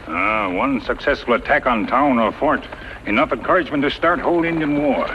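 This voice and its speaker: A man's voice: mid-range voice, brisk, natural voice. A man playing a stoic, loyal scout in a 1950s radio western, talking in terse, clipped broken English. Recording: dull 1950s radio recording, faint noise.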